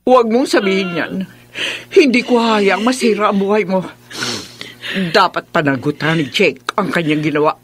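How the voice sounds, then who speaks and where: A woman's voice: medium pitch, normal speed, close to an everyday voice. An actress playing a caring, middle-aged mother figure in a Filipino radio melodrama, anguished and dramatic. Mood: anguished, consoling. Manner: dramatic, anguished, tearful delivery. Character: shocked, caring middle-aged aunt and mother, emotional, firm.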